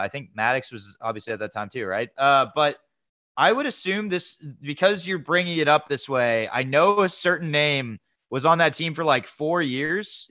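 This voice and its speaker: Bright-voiced rambling man, dry mic. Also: fairly high pitch, dry recording. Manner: rambling, hesitant, full of uh and repeats. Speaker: podcast co-host. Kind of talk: casual chat.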